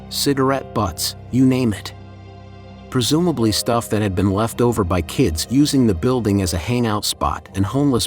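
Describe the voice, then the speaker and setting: A man narrating a scripted first-person horror story in a measured, deliberate way, as a storyteller. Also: on the low side. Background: background music.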